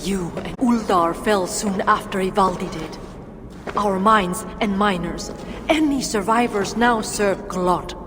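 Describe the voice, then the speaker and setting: A woman's voice: mid-range voice, takes it slowly, close to an everyday voice. A woman playing an embittered, world-weary dwarf leader in a video game, speaking in a blunt, terse manner. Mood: grave, sorrowful. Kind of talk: dramatic video game acting. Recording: reverberant, noisy game cutscene ambience.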